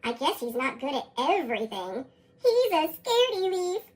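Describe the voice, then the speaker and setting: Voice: high voice, very animated, normal speed. A narrator voicing gossipy, mocking storybook leaves, American, with a chipmunk effect. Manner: taunting. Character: gossipy, mocking leaf classmates. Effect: sped-up chipmunk voice effect.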